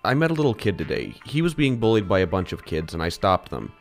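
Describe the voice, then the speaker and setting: A man playing a rich high school boy in an audio drama, earnest and questioning in his manner. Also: big pitch swings, quick pace, vocal fry, faint music behind. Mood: troubled, questioning. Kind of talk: amateur audio drama acting.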